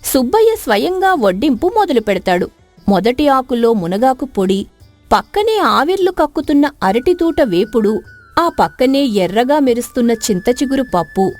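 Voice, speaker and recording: High-pitched, animated woman storyteller. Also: normal speed, faint music behind. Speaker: narrator. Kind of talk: scripted dramatic storytelling.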